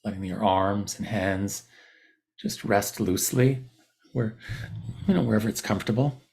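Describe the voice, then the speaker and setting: Low, gravelly man guiding meditation. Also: a little mellow. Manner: soothing, unhurried, with long pauses between short phrases. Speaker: meditation guide. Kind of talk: guided body scan meditation, unscripted instruction.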